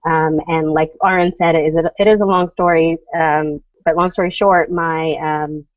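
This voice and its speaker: A woman from the United States speaks in a low voice, giving a steady and earnest personal account. The recording is dry and muffled, like a lo-fi call. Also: normal speed, no room sound, recorded from a distance. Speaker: podcast guest. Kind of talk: casual podcast interview.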